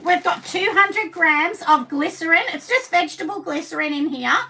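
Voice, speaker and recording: A chatty Australian woman with a husky voice, hosting a live craft class and rambling to her viewers. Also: extremely high pitch, normal speed, pushed and bright, slight background noise. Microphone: camera set down at a distance. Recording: voice a bit distant from the mic.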